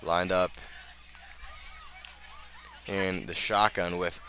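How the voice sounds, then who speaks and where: Bright-voiced American man calling football play-by-play. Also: deep voice, flat delivery, slight background noise. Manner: measured between plays, swelling on action. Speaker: radio play-by-play announcer. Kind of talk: live American football commentary.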